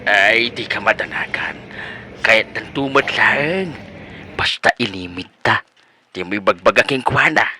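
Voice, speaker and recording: An actor playing an eager, teasing middle-aged suitor who stammers and wheedles, in a comedy radio drama. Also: male voice, lowish voice, animated, measured pace, bright, forceful tone, a little hiss or hum, full character voice. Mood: wheedling.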